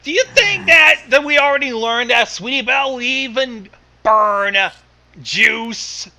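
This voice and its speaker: A man giving casual, blunt and excitable riffing commentary on a story. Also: extremely high pitch, unhurried, creaky. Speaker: fan fiction commentator.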